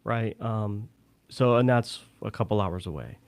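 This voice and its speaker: A man from northern California, a guest paranormal investigator, speaking in a calm, soothing, measured way. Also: lowish voice, no echo. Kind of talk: casual chat.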